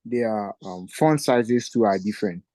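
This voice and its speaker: A man's voice: on the low side, unhurried, warm tone. A man with a Ghanaian accent, a student tentatively answering a question in an online class.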